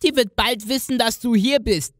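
A woman voicing a friendly little dwarf in a children's fairy-tale audio play, with an animated sing-song manner. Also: a little high, moderate pace, close to an everyday voice. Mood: caring.

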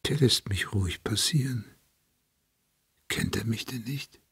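Radio play casting: paranoid madman on the run, muttering, gravelly and very breathy. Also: on the low side, lively intonation, a little slow, a bit bright, no room sound, studio quality, full character voice. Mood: suspicious, weary. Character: raving escaped madman, paranoid, muttering and brooding.

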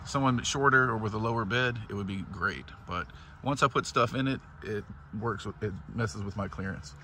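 A man's voice: fairly low pitch, moderate pace, sharp tone, slight background noise. A man vlogging casually, explaining step by step how he set up his minivan camper and walking through a wooded junkyard, filmed on a handheld phone. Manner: plain, practical, explains step by step. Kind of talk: casual show-and-tell narration. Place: inside a minivan, then outdoors in a wooded junkyard.

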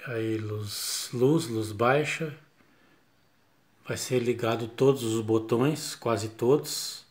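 A middle-aged Brazilian man talks slowly into a handheld phone in a dim home workshop. He speaks at an unhurried, drawn-out pace with a medium pitch, rambling with restarts and tag questions like a casual channel host. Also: a little mellow. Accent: Brazilian Portuguese. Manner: slow, rambling, with frequent restarts and filler tags. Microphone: handheld phone camera.

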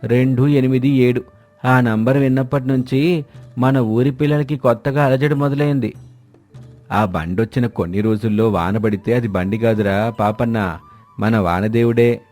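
A man's voice: medium pitch, steady tone, normal speed, a little mellow, faint music behind. A man reading scripted dialogue for village characters in a moral story. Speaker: story voice actor.

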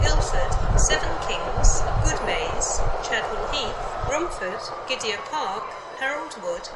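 A British woman making formal, measured scripted train announcements over a station PA, in pieced-together phrases. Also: a little high. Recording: echoey station PA, loud background noise. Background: loud station ambience, trains.

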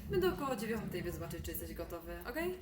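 A woman's voice: fairly low pitch, sing-song, very fast, very noisy. A woman voicing a friendly, casual young woman in an amateur Polish cartoon dub.

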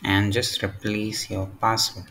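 A man with a fairly low voice presents a step-by-step software tutorial. He speaks very slowly, in short, halting phrases. There is faint noise behind his voice. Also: flat delivery.

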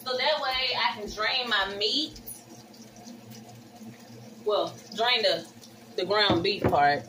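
A woman in her thirties with a Southern American accent, chatting excitedly to viewers while she cooks in her small home kitchen, moving toward and away from a camera set on the counter. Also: mid-range voice, slow, background noise. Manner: chatty and excitable, calls viewers y'all and honey. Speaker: vlogger. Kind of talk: casual cook-along chat. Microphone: phone or camera set on the counter, she moves around it.

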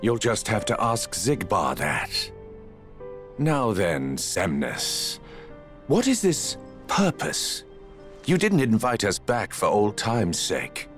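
An actor playing a smug, theatrical schemer from a dark order in a game, arch and haughty. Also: male voice, lowish voice, big pitch swings, slow pace, faint music behind. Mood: sly, probing.

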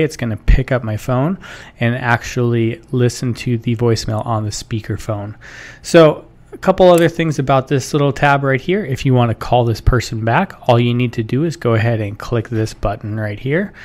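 Man, thirties, mid-pitched voice, informal tutorial narration, step-by-step instruction. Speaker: software tutorial presenter. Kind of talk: casual instructional screen-share narration.

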